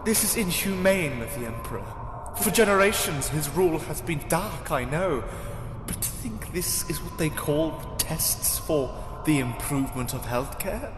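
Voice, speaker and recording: A man's voice: on the high side, animated, measured pace. A man acting an earnest, compassionate, indignant rebel in an audio drama, grave and emphatic, in an echoing space. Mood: horrified, indignant. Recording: echoey hall-like reverb under music.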